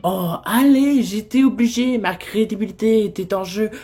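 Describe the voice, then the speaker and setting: A woman's voice: medium pitch, normal speed, warm tone, natural voice. A woman voicing a cocky, crude male demon porn star, flirtatious and sarcastic, in an amateur French cartoon fandub. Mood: flippant. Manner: sarcastic, teasing, vulgar slang. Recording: music behind the voice.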